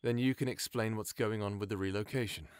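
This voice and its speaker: A man voicing a tender, protective young man who speaks gently and reassuringly. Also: fairly low pitch, steady tone, brisk, clear, resonant tone, dry recording, clean studio sound, close to an everyday voice. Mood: gentle. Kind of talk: full-cast audiobook acting.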